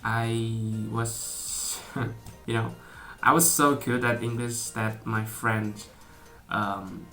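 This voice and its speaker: Young man, Indonesian accent, bright tone, hesitant casual vlog talk, soft music behind. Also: on the low side, steady tone, very slow. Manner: hesitant, many fillers and restarts, laughs often. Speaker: vlogger.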